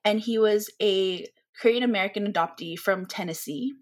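A woman from the Philippines, a podcast guest, talking in a chatty, animated way with lots of fillers. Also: medium pitch, moderate pace. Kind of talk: casual conversational interview.

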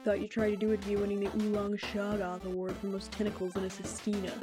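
A woman playing a flippant, rambling young sibling with a deadpan, slangy manner in an audio drama. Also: medium pitch, fast talker, music in the background, close to an everyday voice.

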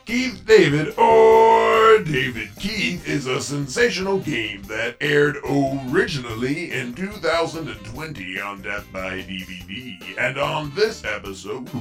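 A man's voice: medium pitch, lively intonation, slow, hardly any pauses, fairly bright tone, distant mic, faint music behind. A man hosting as a podcast announcer in a pompous, theatrical, scripted comedy style. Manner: pompous, bombastic, exasperated showman delivery.

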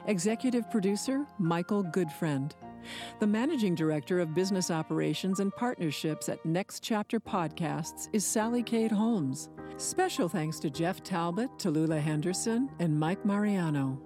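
A woman's voice: on the low side, expressive, moderate pace. Woman announcer over prominent music. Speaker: credits announcer. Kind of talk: scripted narration, reading podcast credits.

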